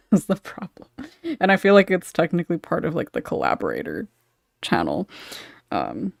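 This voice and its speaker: A woman moderates a casual podcast panel in a slightly low voice. Her intonation moves around a lot, with noticeable fry, and the sound is dry and close. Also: no room sound.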